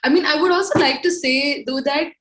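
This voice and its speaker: A woman guest with a fairly high voice, chiming in quickly and expressively. Her voice carries a noticeable room echo. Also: fairly bright tone. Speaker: musician, guest. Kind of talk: casual interview answers.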